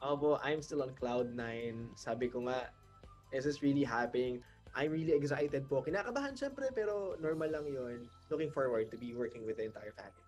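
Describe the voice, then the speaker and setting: A young man interviewed as a new courtside reporter over a video call, eager and energetic. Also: mid-range voice, fairly quick, faint music behind. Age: young adult. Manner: eager, energetic, smiling delivery. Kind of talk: video-call interview, mixing English and Filipino.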